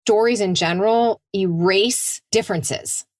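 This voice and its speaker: A woman co-hosting a podcast, excitable in casual chat, swearing freely and laughing. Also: medium pitch, unhurried, no echo, studio quality. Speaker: podcast co-host.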